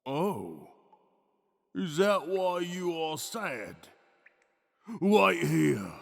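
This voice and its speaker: A man playing a big, simple, childlike mountain troll in a fantasy audio drama, kindly and halting, mumbling to himself. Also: a little high, big pitch swings, gravelly, exaggerated voice. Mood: curious, concerned. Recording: long hall-like reverb.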